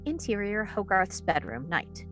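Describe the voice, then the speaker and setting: A woman's voice: medium pitch, big pitch swings, unhurried, a bit bright, soft background music. A woman reading stage directions as the narrator of a screenplay table read.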